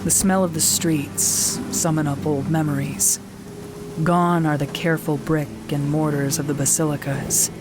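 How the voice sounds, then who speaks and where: A woman voicing a weary veteran warrior nun, narrating in first person with a calm, measured, commanding manner. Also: low-pitched, background noise. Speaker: narrator and squad leader. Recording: music bed under the voice.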